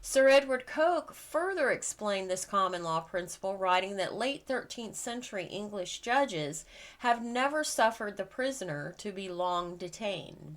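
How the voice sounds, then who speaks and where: A woman reading scripted nonfiction aloud as a narrator, with measured, deliberate phrasing and emphatic pauses. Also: mid-range voice, fairly bright tone. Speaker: reader of a legal book.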